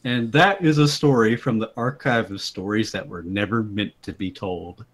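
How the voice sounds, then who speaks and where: A man's voice: lowish voice, moderate pace. A man with an amused manner, chatting casually and reading his own story to friends. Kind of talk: casual group chat and dramatic reading.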